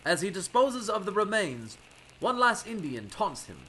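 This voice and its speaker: Guy doing old time radio announcer, high voice, very animated, dramatic suspense narration, noisy. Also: normal speed, exaggerated voice. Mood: dramatic, suspenseful. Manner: theatrical, suspenseful.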